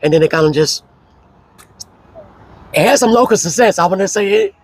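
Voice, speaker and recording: Man guest, hoarse and husky, fairly high voice, relaxed storytelling, faint music underneath. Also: low-quality recording. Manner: relaxed, slow, with frequent fillers. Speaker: interview guest. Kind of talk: casual storytelling interview.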